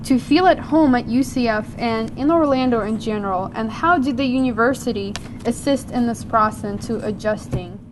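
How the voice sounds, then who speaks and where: Higher-voiced woman moderator. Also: normal speed, few pauses, noisy background. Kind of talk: moderating a panel.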